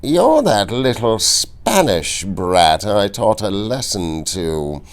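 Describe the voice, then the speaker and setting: A man doing a slow, sneering cruel count. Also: fairly low pitch, very animated, exaggerated voice. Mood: mocking. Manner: slow, drawling, mocking. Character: sneering aristocratic male count, cruel and cold.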